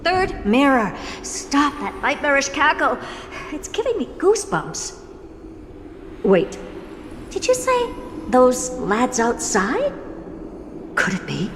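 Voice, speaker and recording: A woman's voice: sits high, very animated, slow pace, long pauses, a bit bright, slight background noise, heavily acted. An actress playing a haughty, sardonic genius woman scientist in a video game, condescending, theatrical and commanding. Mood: suspicious, irritated. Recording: long hall reverb with music behind.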